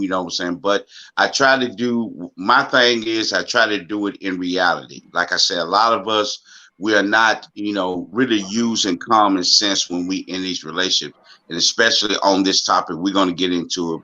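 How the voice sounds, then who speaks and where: A man's voice: low voice, steady tone, brisk, pushed and bright, muffled recording. A laid-back, plain-spoken man talking as a guest on a casual panel.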